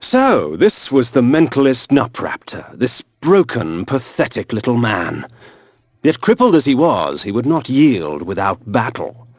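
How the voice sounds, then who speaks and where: An actor voicing a cynical, aristocratic vampire antihero, narrating a dark video game with eloquent, theatrical disdain. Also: male voice, medium pitch, very animated, a little slow, no room sound, dull audio. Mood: contemptuous. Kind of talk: dramatic scripted game narration.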